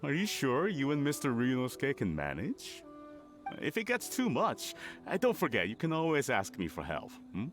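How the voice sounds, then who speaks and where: A man's voice: mid-range voice, huge pitch swings, moderate pace, faint music behind. An actor playing a friendly, helpful young male villager who talks expressively, in a video game dub.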